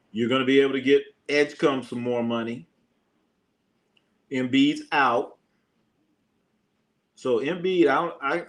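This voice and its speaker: An American man hosting a sports livestream in casual, slangy talk, giving blunt opinions and repeating phrases for emphasis. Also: mid-range voice. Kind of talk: casual live sports commentary, opinionated chat.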